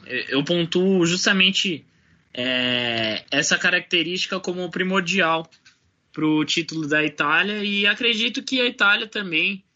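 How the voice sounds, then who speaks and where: A man with a high, very bright voice weighs in on a casual panel. He speaks thoughtfully, stopping and restarting his sentences. The audio sounds dull and lo-fi, as if from a remote recording. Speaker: podcast panelist. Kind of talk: casual sports discussion.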